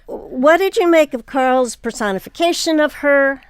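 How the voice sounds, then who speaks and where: A woman moderating a panel, putting measured questions and remarks to the group. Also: high-pitched, no echo.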